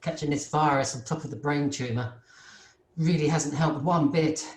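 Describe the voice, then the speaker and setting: A woman's voice: low voice, normal speed, darkish tone, natural voice. A woman playing a meek, anxious male office clerk in a radio play, hesitant and deferential. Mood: sad, hesitant. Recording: roomy, echoing home recording.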